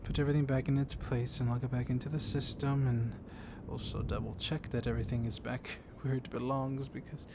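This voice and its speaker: A man playing a gently dominant, comforting vampire librarian in an intimate ASMR roleplay, speaking softly and haltingly, often slipping into a whisper. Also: medium pitch, level tone, lightly acted. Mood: wistful, gentle. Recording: clear storm noise behind the voice. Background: thunderstorm ambience.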